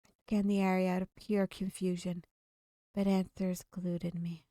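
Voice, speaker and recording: A woman reading a visual novel aloud for a gameplay video, expressive and dramatic, drawing out her delivery. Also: fairly low pitch, moderate pace, no room sound, studio quality. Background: game music.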